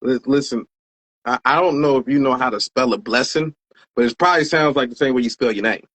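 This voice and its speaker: A man's voice: mid-range voice, a little fast, a bit bright. A man hosting an interview show in a casual, hyped way, using slang and repeating words for emphasis. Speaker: show host.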